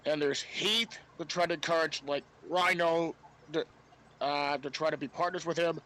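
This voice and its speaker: A man co-hosting a casual wrestling podcast, speaking haltingly and often repeating words as he explains. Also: fairly high pitch, fairly even intonation, sharp tone. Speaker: podcast co-host. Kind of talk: casual wrestling review chat.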